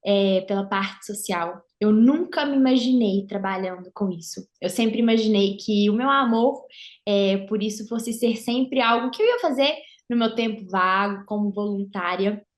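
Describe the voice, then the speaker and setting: A woman's voice: medium pitch, moderate pace. Young woman with a Mineiro accent. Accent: Mineiro (Minas Gerais) Brazilian Portuguese. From Argentina, raised in Brazil. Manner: warm, reflective, with frequent filler "é" and "né". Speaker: guest, social entrepreneur. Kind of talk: casual interview conversation.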